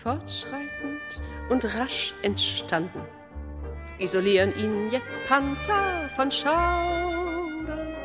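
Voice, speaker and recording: A woman acting as a solemn radio play narrator, reading poetic text in measured, deliberate phrases. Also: high-pitched, music in the background. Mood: solemn, intense. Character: solemn female narrator of an inner ordeal.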